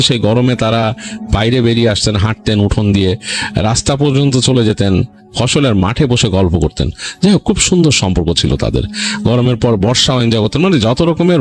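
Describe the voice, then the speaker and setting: A man with a Bangladeshi accent hosting a story show, narrating in an unscripted, halting, conversational way and often rephrasing himself. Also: mid-range voice. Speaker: horror story show host. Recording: music bed under voice. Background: background music.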